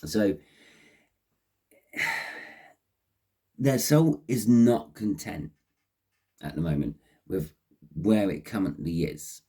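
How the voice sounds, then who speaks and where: Deep, croaky man with a cold. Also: expressive, dark tone. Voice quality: croaky, husky. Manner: halting, repeating phrases as he explains. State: has a cold with a runny nose. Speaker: tarot reader and host. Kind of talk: unscripted tarot reading to camera.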